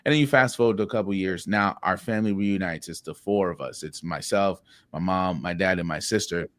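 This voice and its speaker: Low-voiced man telling his life story. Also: fairly quick, dry recording. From Haiti. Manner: earnest, repeats words when starting sentences, says "man" often. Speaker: podcast guest. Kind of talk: reflective personal storytelling.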